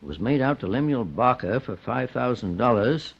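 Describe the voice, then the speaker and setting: A man's voice: mid-range voice, animated, moderate pace, gravelly, husky. An actor playing a shrewd, composed male private detective in an old-time radio drama, probing, formal and authoritative. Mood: accusing. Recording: dull old radio recording.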